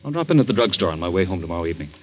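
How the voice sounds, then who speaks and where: An actor playing a genial but evasive husband in a 1940s radio drama, with a breezy, casual manner. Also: male voice, low-pitched, very animated, rapid-fire. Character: smooth, evasive, genial horse-loving husband. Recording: dull old 1940s radio recording.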